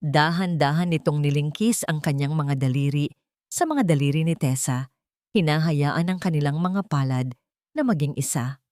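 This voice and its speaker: A woman with a Filipino accent narrating a scripted love story in a measured, solemn, sentimental manner. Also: sits low, mellow, dry recording, clean studio sound.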